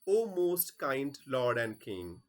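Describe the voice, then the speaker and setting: A man's voice: mid-range voice, big pitch swings, slow pace. A man voicing a fearful bricklayer in a stage play, pleading for mercy and shifting blame.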